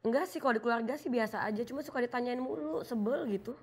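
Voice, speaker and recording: A woman's voice: on the high side, fast, a little mellow, slightly rough. A young woman guest on a comedy show, talking candidly and a bit sassily about her housemates. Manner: candid, chatty, a little sassy. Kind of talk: casual chat on a comedy show.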